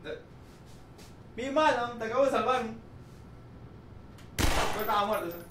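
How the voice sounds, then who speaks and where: A young man livestreaming a video game, chatting casually with his viewers and breaking into sudden loud outbursts. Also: very high-pitched, unhurried. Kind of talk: casual gameplay livestream chat. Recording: noisy echoey room, from far off.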